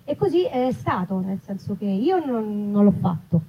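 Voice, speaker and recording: A woman's voice: medium pitch, big pitch swings, moderate pace, warm tone, husky. A woman chatting casually as an interview guest about her own work, in a self-deprecating, digressive way. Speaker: actress and author, interview guest. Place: live festival event in an olive grove. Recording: dull, noisy live event recording with clicks.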